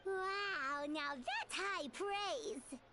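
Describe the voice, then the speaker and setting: A woman voicing a small, excitable childlike fairy companion in an English video game dub, chatty and exclaiming, with a squeaky voice. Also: drawn-out words, very noisy, heavily acted. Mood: excited. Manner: speaks of self in third person, exclaiming.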